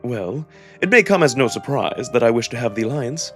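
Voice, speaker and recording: A man voicing a measured, diplomatic jackal nobleman from a visual novel, wary and deliberate in his formal phrasing. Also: mid-range voice, expressive, fairly bright tone, soft background music, natural voice. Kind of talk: visual novel character reading.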